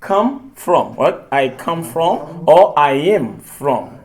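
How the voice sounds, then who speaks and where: Patient man teaching English, somewhat high voice. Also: sing-song, takes it slowly, crowd noise. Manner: patient, repeats phrases, switches into French. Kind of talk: classroom language lesson. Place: classroom.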